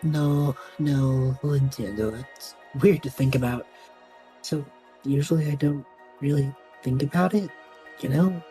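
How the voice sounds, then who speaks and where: A woman's voice: a bass voice, mellow. A young woman playing an easygoing, impulsive student with a playful, dry manner in a naturalistic audio drama. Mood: sincere, hesitant.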